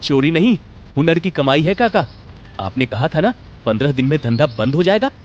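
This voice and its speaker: A man's voice: on the high side, very animated, normal speed, darkish tone, highs cut off. A man playing an earnest, humble young village momo seller in a cartoon story, speaking politely. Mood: confident.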